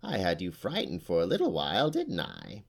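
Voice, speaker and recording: A man's voice: sits low, wildly swooping pitch, moderate pace, creaky, slightly rough, heavily acted. A man voicing an old, boastful and fierce female witch who talks with a sneer. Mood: gloating. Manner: sneering, snarling at times.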